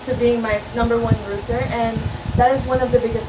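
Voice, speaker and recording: A woman's voice: fairly high pitch, little pitch movement, brisk, darkish tone. A woman in her twenties from Macau, earnest and articulate, giving an interview answer as a pageant contestant. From Macau, of Filipino and French parents. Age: 20s. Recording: very noisy, echoey, dull, distant mic.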